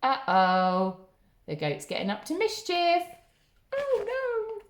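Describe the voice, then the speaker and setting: A woman's voice: extremely high pitch, huge pitch swings, slow pace, reverberant, slight background noise. A woman hosting story time for young children, reading expressively with asides to the listeners.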